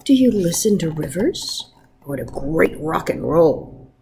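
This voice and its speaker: A woman in her thirties, a first grade teacher, talking informally to young pupils and reading aloud in an encouraging, expressive way. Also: low voice, measured pace, creaky, a little hiss or hum. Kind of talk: warm informal message to pupils and picture-book read-aloud. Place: wood-ceilinged room at home, indoors. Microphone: webcam at arm's length.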